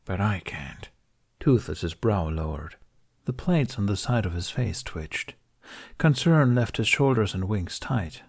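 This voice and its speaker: A man's voice: lowish voice, lively intonation, measured pace, gravelly, a little mellow. A man narrating fiction as an audiobook reader, reading from a script.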